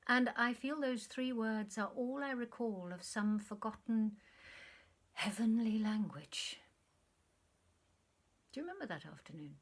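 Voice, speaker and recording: A man's voice: sits high, lively intonation, a little slow, long pauses, a bit bright, heavily acted. Woman voicing a grave, wistful sentimental man. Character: earnest, sentimental man reminiscing with a former sweetheart.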